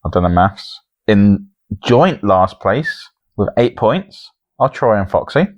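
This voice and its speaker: A man's voice: fairly low pitch, animated, slow, lo-fi sound. A man co-hosting a podcast and keeping score, talking in casual banter.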